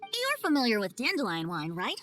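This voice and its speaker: A woman's voice: on the high side, wildly swooping pitch, fairly quick, fairly bright tone, slight background noise. A woman voicing a whimsical boyish male bard in a video game, speaking in flowery, theatrical phrases. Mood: storytelling. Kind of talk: animated video game voice acting.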